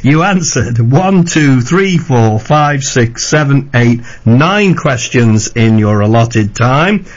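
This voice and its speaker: A man hosting a radio phone-in quiz, chatty and laughing often as he reads questions briskly. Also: medium pitch, animated. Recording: muffled lo-fi radio broadcast.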